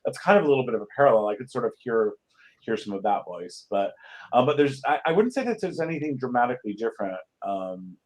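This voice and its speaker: A man's voice: mid-range voice, sing-song, fast, a little mellow, rough recording. A man speaking as a guest in a casual interview, explaining things thoughtfully and a little hesitantly. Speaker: guest playwright.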